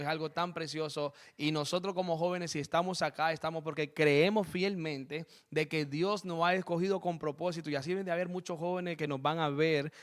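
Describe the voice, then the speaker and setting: A man with a slightly raised pitch hosts a church interview program as its pastor. He speaks fervently and keeps repeating amens and blessings between his questions. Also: clear, resonant tone. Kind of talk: preaching interview with spoken prayer. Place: church program set in Spain.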